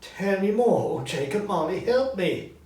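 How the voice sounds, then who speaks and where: A frightened old miser from a storybook, performed by a man, pitched high and agitated. He sounds trembling, pleading and excitable. The room echoes and the mic is a little way off. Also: measured pace. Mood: anxious. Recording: roomy echo, distant mic, background noise.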